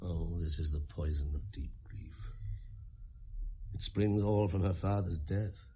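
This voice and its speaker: A husky, troubled, grave king. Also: low-pitched, slow pace, mellow, exaggerated voice. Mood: heavy, sorrowful. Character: troubled, grave middle-aged king, smooth and scheming. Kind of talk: 1970s radio Shakespeare acting, declamatory.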